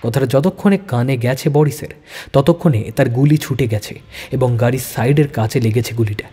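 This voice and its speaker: Mellow, reverberant male story narrator. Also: medium pitch, fairly quick. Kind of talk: dramatic scripted story narration. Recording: long reverb, background music.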